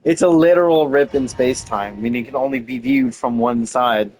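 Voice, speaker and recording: A man's voice: mid-range voice, brisk, darkish tone, a little hiss or hum, low-quality recording. A man giving informal, rambling gameplay commentary as a streamer, with casual swearing. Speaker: gaming streamer.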